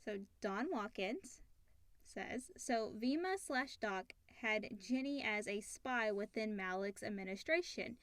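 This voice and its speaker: A woman's voice: mid-range voice, expressive, slow, fairly bright tone, no room sound. A woman co-hosting a casual talk show, chatting and reading out listener questions.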